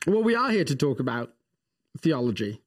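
A man's voice: mid-range voice, sing-song, moderate pace. A man co-hosting a casual faith podcast, wry and self-deprecating, rambling through his asides. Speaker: podcast co-host. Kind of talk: casual chat.

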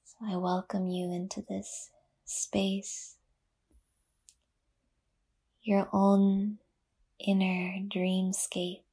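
A woman leading a guided yoga nidra meditation in a soft, breathy half-whisper, gently and with long pauses. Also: mid-range voice, completely flat delivery.

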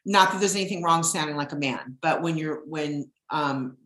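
A middle-aged woman with a low, husky voice hosts her podcast in a loose, rambling chat full of ums. Her voice rings in a noticeably echoey room. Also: moderate pace, a bit bright. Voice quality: deep husky voice. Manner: chatty, rambling, with frequent ums. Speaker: podcast host. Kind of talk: casual chat.

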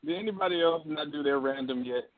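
A man's voice: on the high side, fast talker, dry recording. A man with a slightly raised voice jokes with his co-hosts and plays at being offended. His voice sounds tinny, like a phone call. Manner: joking mock-indignant banter. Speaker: co-host. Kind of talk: casual podcast banter.

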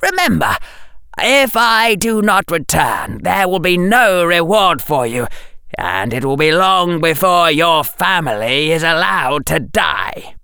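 A man doing a cold dark lord in a boy's body. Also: a little high, big pitch swings, slow, gravelly, clean tone, dry recording, studio quality, pitched above the performer's own voice, full character voice. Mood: cold, menacing. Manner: clipped, chilling commands.

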